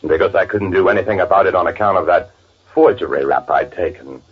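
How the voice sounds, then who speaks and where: An actor playing a bitter, gloating murderer who poses as his twin, confessing in halting first-person 1940s radio drama narration. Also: low voice, breathy edge. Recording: tinny vintage radio recording with music.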